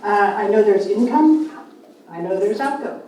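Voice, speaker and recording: A woman's voice: mid-range voice, expressive, a little slow, dark tone, slight background noise. A woman giving public comment at a city council hearing, plainspoken and new to speaking there. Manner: plainspoken, says she is new to this. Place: city council chamber, large room. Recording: echoey hall, distant.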